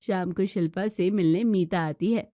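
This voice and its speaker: A woman's voice: on the low side, moderate pace, warm tone, no echo, clean studio sound. A woman narrating a scripted family drama story as its storyteller.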